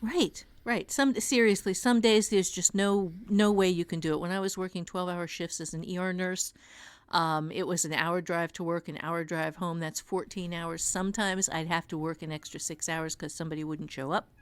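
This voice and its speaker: A woman's voice: on the low side, steady tone, normal speed, clear, resonant tone, no echo. A woman author and writing teacher, a podcast guest, giving practical, encouraging advice in casual conversation.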